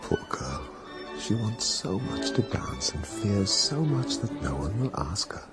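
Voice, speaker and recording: An actor playing a reserved, courteous aristocratic prince in a period radio drama, measured and reflective. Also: male voice, fairly low pitch, sing-song, loud music behind the voice. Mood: tender, courteous.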